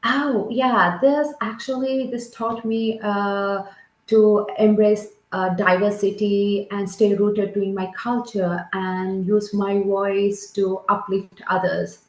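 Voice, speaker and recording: Slow-speaking woman from Sri Lanka, echoey call. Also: medium pitch, little pitch movement. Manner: slow, searching, with non-native grammar. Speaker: guest. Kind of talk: casual interview answers.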